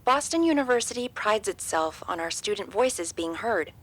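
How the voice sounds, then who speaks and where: An actress playing a polite but unhelpful university administrator in an audio drama, formal and officious. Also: female voice, mid-range voice, lively intonation, moderate pace, a bit bright. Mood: officious, placating.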